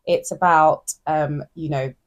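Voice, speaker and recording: A woman's voice: low-pitched, steady tone, slow. A woman hosting a casual talk show, speaking in a reflective, affirming way with frequent fillers. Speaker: podcast host. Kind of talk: casual chat.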